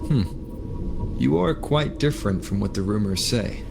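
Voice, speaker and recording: A man voicing a reserved, level-headed young hero in a video game, speaking in a calm, understated, wry way. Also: on the low side, normal speed, vocal fry. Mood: wry, curious. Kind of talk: video game voice acting, scripted dialogue. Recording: music behind the voice.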